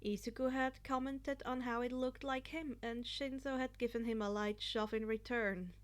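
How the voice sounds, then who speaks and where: A woman's voice: on the high side, fairly even intonation, normal speed. A woman narrating a piece of fan fiction as a scripted story reading.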